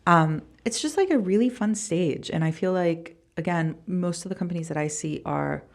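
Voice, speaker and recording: A woman from Costa Rica speaking as a podcast guest in a casual, halting, emphatic interview style. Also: lowish voice, vocal fry. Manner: informal, halting, emphatic with repeated words. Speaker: podcast guest, venture fund founder.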